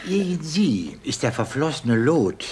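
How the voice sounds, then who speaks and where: An actor playing a genial, teasing dignitary in a theatrical German radio comedy, courtly and mock-scolding. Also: male voice, mid-range voice, big pitch swings, unhurried, darkish tone, slight background noise. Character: genial, teasing male dignitary addressed as Excellency.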